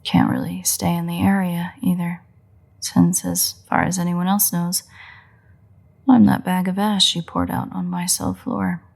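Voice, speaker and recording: A woman playing a playful, sardonic, bloodthirsty but secretly caring vampire in a roleplay audio drama, with wry, husky, often breathy delivery. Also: on the low side, flat delivery, darkish tone. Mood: wry, guarded. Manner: wry, teasing, unhurried delivery with pauses.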